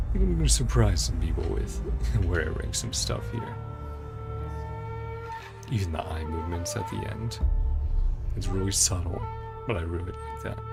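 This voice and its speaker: Slow, gravelly man musing aloud. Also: deep voice. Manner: musing, hesitant, thinking aloud in short fragments. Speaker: host of a reaction and ranking video. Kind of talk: casual reaction commentary. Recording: music playing behind the voice. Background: game trailer music.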